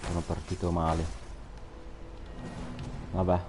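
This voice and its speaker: A man's voice: deep voice, completely flat delivery, a little slow. An Italian man giving casual commentary as he streams a game, muttering short remarks to himself. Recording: clearly noisy stream audio.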